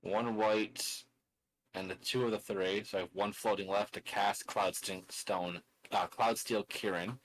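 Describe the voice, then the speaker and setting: A man plays a tabletop card game and talks through each step in a casual way. His voice is on the low side and he takes his time, often muttering or counting aloud as he thinks out his turn. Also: fairly even intonation, unhurried, rough recording. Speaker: card game player and streamer.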